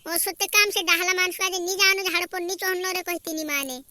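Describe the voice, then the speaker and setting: A man's voice: very high voice, fairly even intonation, fairly quick, sharp tone, no room sound, heavily acted. A man voicing an anxious, fussing village relative in a comedy cartoon, complaining and repeating things. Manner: repetitive, complaining. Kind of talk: amateur regional comedy cartoon dubbing.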